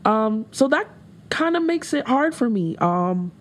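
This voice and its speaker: A woman rapper with a deeper voice, talking candidly as a guest in a casual interview, with frequent fillers. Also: sing-song, measured pace. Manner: candid, reflective, with laughs and many fillers.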